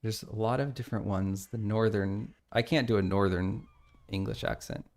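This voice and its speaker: American game dev streamer, lowish voice with a gravelly edge, talks through his code as he works. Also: expressive, normal speed, a little mellow. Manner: thinking aloud, reading code out. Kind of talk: casual live coding stream.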